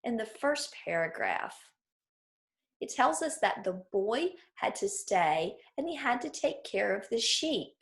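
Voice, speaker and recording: A woman teaching children through a read-aloud, explaining things clearly and putting questions to her listeners. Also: fairly high pitch, sing-song, unhurried.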